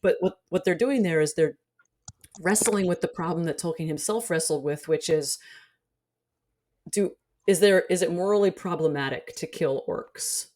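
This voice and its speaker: A woman's voice: fairly low pitch, normal speed, a little mellow. A woman speaking as a guest in a casual panel discussion, analytical and articulate like a scholar explaining her field. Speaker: podcast guest, literature scholar.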